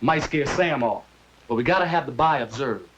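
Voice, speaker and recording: A man's voice: mid-range voice, animated, moderate pace, a crowd in the background, natural voice. A man with an American accent playing a plain-spoken senior investigator briefing his team in a 1970s training film. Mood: decisive, businesslike. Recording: dull, faintly noisy 1970s film sound.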